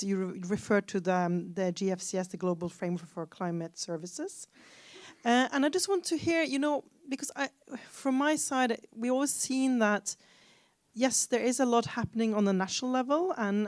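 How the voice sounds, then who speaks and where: A woman of middle pitch puts a long question to a panel, rambling and restarting as she goes. Her voice is recorded dry, with no room echo. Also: a little mellow. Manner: rambling, with restarts and "you know".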